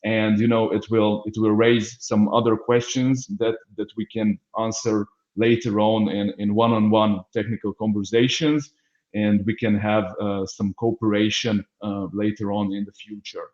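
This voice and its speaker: A man's voice: lowish voice, level tone, normal speed, darkish tone, roomy sound, muffled recording. Hesitant Hungarian man on a video call. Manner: hesitant, many fillers and restarts. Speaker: business development manager. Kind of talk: webinar introduction.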